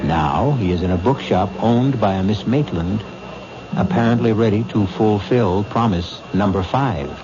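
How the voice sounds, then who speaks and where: A grave storyteller of murder mysteries played by a man, with a low voice. It sounds like an old radio drama, dull and clearly noisy. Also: a little slow, natural voice. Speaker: narrator. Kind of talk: scripted radio mystery narration.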